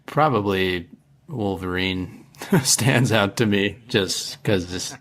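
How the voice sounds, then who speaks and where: A man co-hosting a podcast, chatting casually in a halting, laid-back way. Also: fairly low pitch, fairly bright tone. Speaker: podcast co-host.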